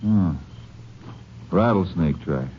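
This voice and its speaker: Brief: an easygoing lawman sidekick, low voice, hissy muffled old radio recording. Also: lively intonation, takes it slowly. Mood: wary. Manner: questioning, easygoing. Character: eager, doubtful male Ranger sidekick. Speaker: Ranger partner. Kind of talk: 1950s radio drama acting.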